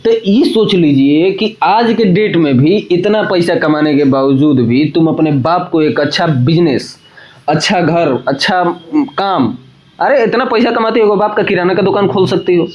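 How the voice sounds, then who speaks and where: A man with a Bhojpuri accent speaks in a fairly high voice as the guest in a casual gossip interview. He is emphatic and tends to repeat his phrases. The sound is dull and lo-fi. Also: normal speed, darkish tone.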